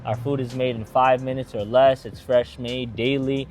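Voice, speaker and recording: A young man from Atlanta talks through his family business in a relaxed, eager ramble, full of "like" and "you know". His voice sits at an ordinary middle pitch. Faint music plays under the conversation. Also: moderate pace. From Atlanta, Georgia. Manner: eager, rambling storytelling with many fillers. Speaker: gas station and restaurant owner. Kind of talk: casual interview answers.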